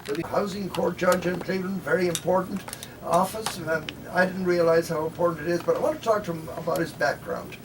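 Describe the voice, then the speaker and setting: A man's voice: sits high, steady tone, brisk. An American man hosting a casual interview, talking in a rambling, folksy, informal way. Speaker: interview show host. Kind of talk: casual live interview chat. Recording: distant mic, faint ambient noise.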